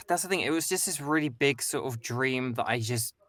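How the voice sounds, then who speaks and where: A man's voice: medium pitch, normal speed, fairly bright tone, no echo. A man voice actor, a guest on a stream, speaking thoughtfully and hedging with many "kind of"s and "sort of"s. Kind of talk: casual conversation.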